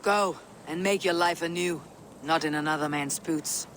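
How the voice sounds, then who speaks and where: Game role: male actor as steely Norse leader, measured and firm, slightly high-pitched. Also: steady tone, fairly bright tone, slight background noise, close to an everyday voice. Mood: measured, solemn. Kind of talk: video game character acting.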